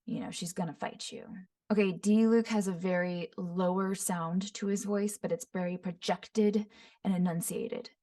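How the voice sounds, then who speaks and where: A woman's voice: on the low side, steady tone, moderate pace, mellow. Woman voice actor, set back from mic. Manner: explains voices in short descriptive phrases, often breaking into character demos. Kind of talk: voice impression tutorial. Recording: voice somewhat far from the mic.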